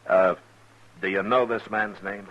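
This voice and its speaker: An actor playing a calm, authoritative western marshal in an understated, reassuring manner, in 1950s radio drama style. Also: male voice, on the low side, a little slow, natural voice. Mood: reassuring, questioning. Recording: dull vintage 1950s radio recording.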